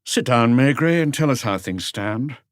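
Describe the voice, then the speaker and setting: Audiobook prosecutor, acted by a man: official, brisk and commanding, raised pitch, dry sound. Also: animated, no echo, natural voice. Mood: brisk, urgent. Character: brisk, authoritative male public prosecutor. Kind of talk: audiobook character voice.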